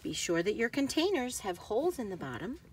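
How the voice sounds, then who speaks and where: A woman reading a children's book aloud with careful, expressive phrasing for young listeners. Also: on the high side, a little fast, slight background noise.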